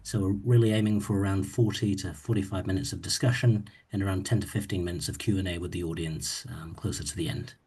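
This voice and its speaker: Kiwi guy hosting a webinar, low mellow voice, kinda husky, lots of ums. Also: fairly even intonation, quick pace, few pauses. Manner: easygoing, with frequent ums and uhs. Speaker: webinar host, moderator. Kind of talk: moderating a webinar.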